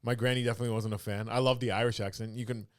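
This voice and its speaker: A man from Canada hosting a casual podcast chat, quick and joking, often dropping into impressions. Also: mid-range voice, level tone, no room sound, studio quality. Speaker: podcast host.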